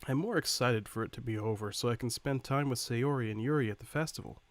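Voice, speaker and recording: A man playing an earnest, worried high school student who narrates a visual novel in the first person. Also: fast talker, no echo, lightly acted. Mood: matter-of-fact.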